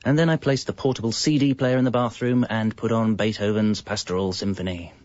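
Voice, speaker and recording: An actor as a low-voiced, wry British husband narrator. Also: male voice, brisk, natural voice. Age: 30s. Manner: deadpan, conversational. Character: wry, evasive young husband and father confessing his double life. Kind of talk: scripted comic narration. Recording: dull, lo-fi radio audio. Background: music.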